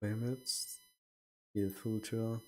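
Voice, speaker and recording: Young man, low-pitched, casual gaming stream chatter, rambling. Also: fairly even intonation, measured pace, warm tone. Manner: rambling, self-correcting. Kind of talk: casual chat while gaming.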